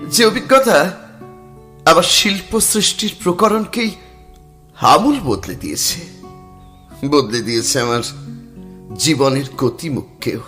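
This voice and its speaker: A man's voice: on the high side, sharp tone, heavily acted. An actor playing a middle-aged painter who muses aloud, mixes in English phrases and narrates his own story. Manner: musing, self-talking, mixes English phrases. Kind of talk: radio drama acting and first-person narration.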